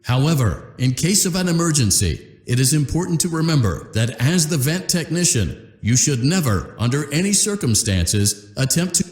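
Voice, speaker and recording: An actor playing a cheery male corporate training announcer with a polished sales-pitch delivery in a video game. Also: big pitch swings, moderate pace, few pauses, a little mellow. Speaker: company announcer. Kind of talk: scripted game voice lines. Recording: roomy echo.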